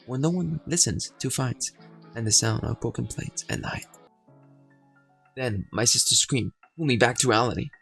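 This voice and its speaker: Gravelly-voiced man reading a game aloud. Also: mid-range voice, fairly bright tone. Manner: reads game text aloud, rambling with fillers. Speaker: gaming YouTuber. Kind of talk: game playthrough narration and chat.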